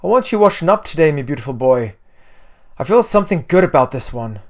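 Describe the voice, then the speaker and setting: A woman's voice: low-pitched, very animated, fast, voice pushed up, heavily acted. A man voicing a brash, loving rural mother in a storybook, with an Irish accent and bossy, teasing folksy talk. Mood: excited, teasing.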